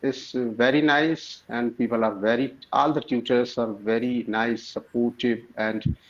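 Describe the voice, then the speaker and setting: A man's voice: medium pitch, steady tone, a little slow. Hesitant male teacher, muffled audio. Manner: hesitant, many fillers, formal address. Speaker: primary school teacher, learner. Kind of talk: casual conversation practice.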